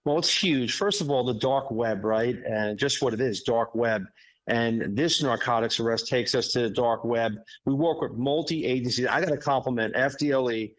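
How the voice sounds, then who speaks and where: A man's voice: medium pitch, moderate pace, rough recording. A man who is a county sheriff, a guest on a radio talk show, speaking emphatically and repeating his points. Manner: emphatic, praising, repeats phrases. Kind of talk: radio interview.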